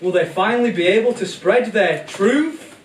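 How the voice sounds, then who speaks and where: Man as theatrical puppet show storyteller: whimsical, excitable, swooping delivery, echoey live recording over music. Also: sits high, normal speed, distant mic, slight background noise. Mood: earnest. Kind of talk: theatrical scripted narration.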